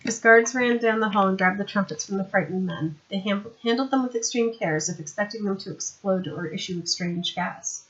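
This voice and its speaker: A woman's voice: medium pitch, a little fast, hardly any pauses, a bit bright, muffled recording. A woman reading a novel aloud as scripted audiobook narration. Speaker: audiobook reader.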